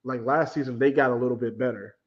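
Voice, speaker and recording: A man's voice: medium pitch, fairly even intonation, quick pace. Laid-back twenty-something man, slightly dull sound. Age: 20s. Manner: laid-back, blunt, laughs often. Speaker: podcast guest. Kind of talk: casual sports chat.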